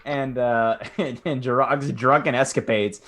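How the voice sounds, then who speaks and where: A man's voice: mid-range voice, fairly even intonation, unhurried, fairly bright tone, slight background noise. A man playing a tabletop game, talking casually with hesitations and laughs. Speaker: player. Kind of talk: casual tabletop play chat.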